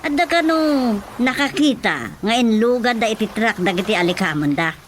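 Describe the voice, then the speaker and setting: A woman's voice: fairly high pitch, lively intonation, moderate pace, slight background noise, natural voice. A woman with a Filipino accent playing a nagging, gossipy chairman's wife in a radio drama, pressing and scolding. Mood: gossipy, alarmed. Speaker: actor. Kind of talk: Ilocano radio drama comedy acting. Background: music.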